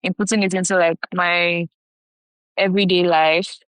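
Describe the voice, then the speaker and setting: A young Nigerian woman with a Nigerian accent, co-hosting a podcast, talking haltingly and hedging as she searches for words. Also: lowish voice, level tone, fairly bright tone, no echo. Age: young adult. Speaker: podcast co-host. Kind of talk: casual chat.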